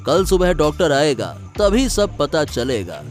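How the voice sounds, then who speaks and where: A man plays a compliant, hesitant husband in a cartoon tale of plotting. His voice sits fairly high, checking for agreement, with background music underneath. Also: very animated, unhurried. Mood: conspiring. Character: wary, compliant husband in a scheming couple. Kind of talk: Hindi cartoon story voice acting.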